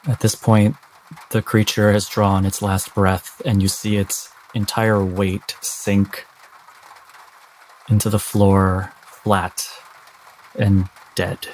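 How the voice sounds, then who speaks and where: A man narrates a dramatic tabletop game as game master. His voice is low and even, delivered at a deliberate, very slow pace. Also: slight background noise, rough recording. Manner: measured, deliberate.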